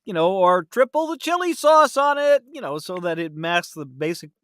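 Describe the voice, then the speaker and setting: Male co-host, wry and mock-pedantic, animated pitch swings, dry booth sound, casual banter. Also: moderate pace, dry recording. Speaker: podcast co-host, voice actor. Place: home-made vocal booth with blankets.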